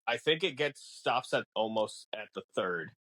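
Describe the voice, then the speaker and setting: Animated man explaining in casual, halting conversation. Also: mid-range voice, no echo. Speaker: podcast co-host. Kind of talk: casual film discussion.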